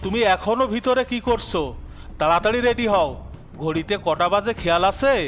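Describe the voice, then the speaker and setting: A man's voice: high voice, lively intonation, a little fast. A man playing a callous, impatient, greedy businessman son in a melodramatic cartoon dub. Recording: music behind the voice.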